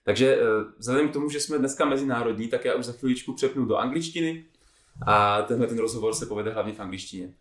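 A man's voice: mid-range voice, quick pace, reverberant. A man from the Czech Republic with a Czech accent, a native Czech speaker, introducing a podcast as its host.

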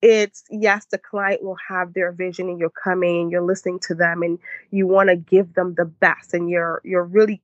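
A woman's voice: on the low side, flat delivery, normal speed, dry recording, lo-fi sound. A woman in her forties with a Haitian accent, a guest in a casual interview, reflective and often restarting her sentences. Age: 40s. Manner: reflective, with many restarts and laughs. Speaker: podcast guest.

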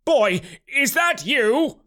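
A man voicing an angry, bullying uncle in a storybook, shouting threats in exasperation. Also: extremely high pitch, very animated, slow, pitched above the performer's own voice, exaggerated voice. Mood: startled, urgent. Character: angry, bullying uncle trapped in a crystal prison.

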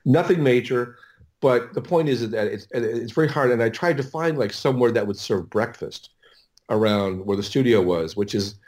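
A man's voice: mid-range voice, darkish tone. A middle-aged American man telling stories casually as an interview guest, often stopping and correcting himself as he rambles. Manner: halting, rambling, self-correcting. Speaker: audiobook producer, podcast guest.

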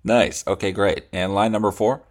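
A man's voice: fairly low pitch, steady tone, normal speed. A man chatting casually as a podcast host, joking, teasing and swearing freely while he encourages the other person. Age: adult son of the other speaker.

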